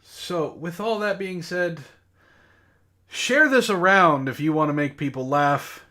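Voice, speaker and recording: A man's voice: a little high, takes it slowly, bright, forceful tone. A man hosting a casual comedic book club podcast, bantering in a mock-exasperated way.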